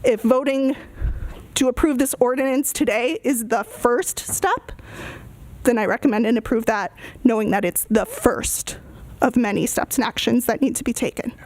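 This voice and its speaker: A woman's voice: a little high, moderate pace, darkish tone. A woman speaking earnestly and emphatically at a public meeting, in short repeated phrases. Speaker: resident giving public comment.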